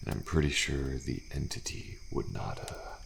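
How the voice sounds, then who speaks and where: A man playing a weary, friendly male survivor in an ASMR audio roleplay, speaking in a soft, hushed, reassuring way with a deep, gravelly voice. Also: flat delivery, normal speed, noisy background, heavily acted. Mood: calm, resigned. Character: weary, friendly male survivor of deadly trials, resigned yet hopeful. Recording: faint night insect ambience behind.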